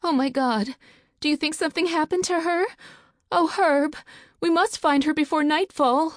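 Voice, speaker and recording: A woman's voice: on the high side, moderate pace, a little mellow, slightly rough, no echo, studio quality, pitched above the performer's own voice. A woman voicing a panicked mother who pleads as she searches for her missing daughter. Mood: desperate.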